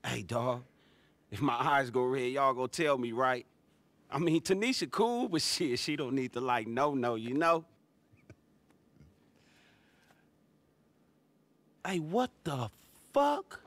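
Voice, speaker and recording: A man's voice: medium pitch, animated, measured pace, a bit bright, no echo. A man playing a wary, exasperated young street hustler in a video game, with a Southern California American accent and slangy, sarcastic, complaining delivery. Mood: uneasy, stoned. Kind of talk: video game cutscene acting.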